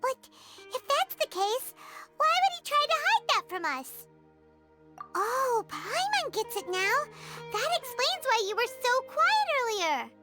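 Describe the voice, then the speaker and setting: Squeaky childlike fairy sidekick. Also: female voice, big pitch swings, slow, heavily acted. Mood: pondering. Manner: refers to self in third person, exclamatory. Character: small childlike floating fairy companion, chatty and fretful. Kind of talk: animated video game voice acting. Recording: game music behind the voice.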